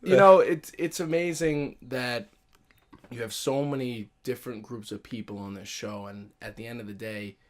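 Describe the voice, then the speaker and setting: A young man hosting a casual podcast chat, excitable and swearing freely, often turning to speak straight to his listeners. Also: mid-range voice, normal speed. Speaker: podcast host.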